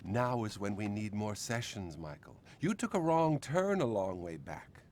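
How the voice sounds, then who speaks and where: A video game therapist, patronising and blunt. His delivery is measured yet highly varied in pitch, over faint background noise. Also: sing-song, darkish tone. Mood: blunt, judgmental. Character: male therapist, patronising, bluntly judgmental, greedy. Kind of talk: video game cutscene acting.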